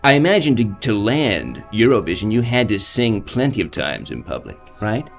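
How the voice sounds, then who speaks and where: A man hosting a live improv comedy show, teasing and questioning a guest character with animated delivery. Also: fairly low pitch, normal speed, creaky, soft background music. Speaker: host.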